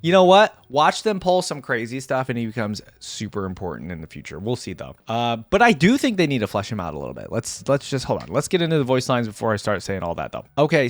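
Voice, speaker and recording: A man's voice: medium pitch, dry recording. Casual young man, dry close recording. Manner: musing, tentative asides to viewers. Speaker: reaction video host. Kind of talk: casual reaction commentary.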